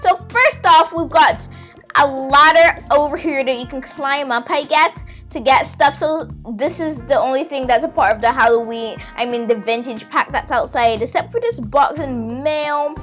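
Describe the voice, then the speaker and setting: A girl with a high, youthful voice gives a casual game tour. She chats directly to her viewers with playful exclamations, while music plays behind her. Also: moderate pace, fairly bright tone. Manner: chatty, exclamatory, addressing viewers. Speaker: gaming video host.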